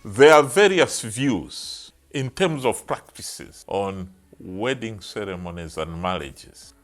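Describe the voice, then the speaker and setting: A man narrates a documentary from a script over faint music. His pace is markedly slow and his pitch sits a touch low. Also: animated.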